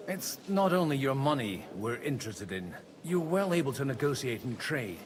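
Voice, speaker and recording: Male actor as earnest young envoy in a medieval game: mid-pitched, animated melody, polite and direct, faint noise. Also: moderate pace, lightly acted. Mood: persuasive. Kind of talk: video game dialogue acting.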